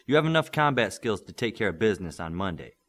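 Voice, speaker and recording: A man's voice: lowish voice, big pitch swings, fast, fairly bright tone, heavily acted. A man playing a patient, firm superhero mentor in an audiobook, coaching and instructing steadily. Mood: instructive.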